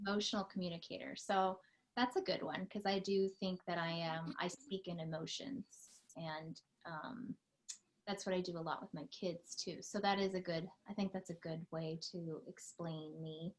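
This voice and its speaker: A woman's voice: on the low side, fairly even intonation, normal speed, rough recording. A woman in her thirties, a mother, talking earnestly and chattily about herself on a podcast. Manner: earnest and chatty, warm-spoken with fillers. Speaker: podcast co-host. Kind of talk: casual chat.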